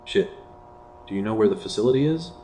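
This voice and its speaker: A man playing a worried, gruff boyfriend in an amateur horror drama, speaking in a terse, casual way. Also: animated, brisk, natural voice. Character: gruff, worried boyfriend searching for his girlfriend. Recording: low voice under loud noise, clicks.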